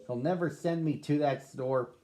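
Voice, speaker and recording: A man reading a rhyming picture book aloud to children, with a slow, sing-song rhythm. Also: a little high, dark tone. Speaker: storybook reader.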